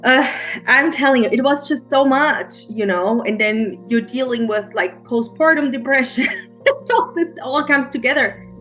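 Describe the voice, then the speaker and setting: A middle-aged woman with a German accent, a mindset coach, telling her story as an interview guest in a halting, earnest way with many restarts. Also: high-pitched, fairly bright tone, faint music behind. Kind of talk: emotional personal storytelling interview.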